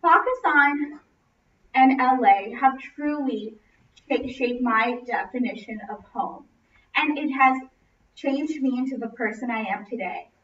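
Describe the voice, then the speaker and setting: High-voiced young American woman, roomy distant recording. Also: measured pace, a bit bright. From Los Angeles, United States. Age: young adult. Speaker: student speaker. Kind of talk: prepared narrative speech. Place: classroom, indoors. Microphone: distant camera microphone. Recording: roomy, distant, muffled, noisy camera audio.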